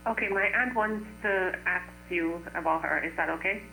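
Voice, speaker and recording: Sharp, bright-voiced woman phoning in. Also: lowish voice, normal speed, vocal fry. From Trinidad. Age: 40s. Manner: short, plain answers. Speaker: caller seeking advice. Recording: phone call with electrical hum.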